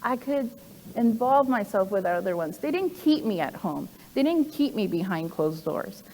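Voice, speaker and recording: A woman's voice: medium pitch, sing-song, moderate pace, a little mellow, slight background noise. A woman in her forties giving a personal presentation to an audience. She speaks conversationally, with frequent "um" fillers and asides. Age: 40s. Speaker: program director giving a talk. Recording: long hall reverb.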